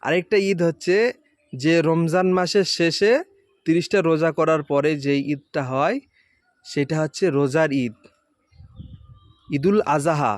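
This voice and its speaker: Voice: medium pitch, fairly even intonation, a little slow, pauses often, no echo, clean studio sound. Quiz host asking kids stuff, explains it over again patiently, mid voice, no room sound. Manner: explains and repeats answers patiently. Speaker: quiz host questioning children. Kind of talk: informal spoken quiz with children.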